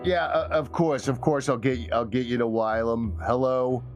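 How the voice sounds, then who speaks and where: A man playing a testy but accommodating tavern fixer in a tabletop game, halting and repeating himself. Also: medium pitch, steady tone, music in the background, close to an everyday voice.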